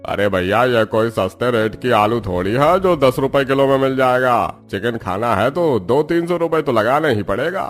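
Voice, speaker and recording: पुरुष कलाकार दो-टूक बोलता बाज़ार का दुकानदार. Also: male voice, mid-range voice, moderate pace, hardly any pauses, lightly acted. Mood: matter-of-fact. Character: male market trader and contractor, plain-spoken haggler. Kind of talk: Hindi cartoon story acting.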